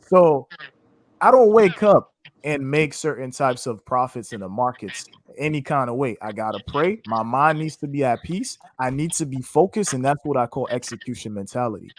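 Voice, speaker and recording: A man's voice: mid-range voice, lively intonation, normal speed. Passionate preacher-like man from Haiti. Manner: passionate, preacher-like, faith-filled phrasing; casual, with "man" and "you know what I'm saying". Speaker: trading educator, guest. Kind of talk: motivational interview answer.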